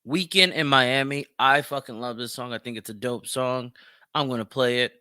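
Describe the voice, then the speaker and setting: A man's voice: mid-range voice, normal speed, bright, forceful tone, clean tone, no echo, studio quality. A man hosting a show with casual, informal commentary and frequent swearing. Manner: informal, profane. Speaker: show host.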